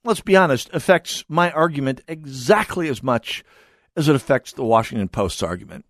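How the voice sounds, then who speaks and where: A man's voice: medium pitch, expressive, normal speed, dry recording, clean studio sound. A man hosting a talk radio show, speaking emphatically and wryly, with frequent restarts. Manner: emphatic, wry, restarts often. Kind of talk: talk radio monologue and calls.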